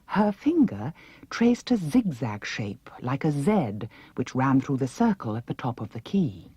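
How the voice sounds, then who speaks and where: Female audiobook narrator, British, animated delivery, slightly husky, for children's fiction. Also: rumbling low, unhurried. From United Kingdom. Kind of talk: expressive scripted children's story narration.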